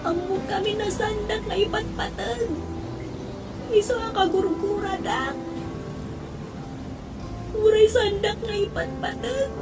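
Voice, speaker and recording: A woman's voice: extremely high pitch, slow pace, long pauses, heavily acted. A woman playing a sad, hurt young daughter in a melodramatic audio drama, speaking plaintively. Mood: sorrowful, wounded. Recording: distant voice under clear music. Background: music.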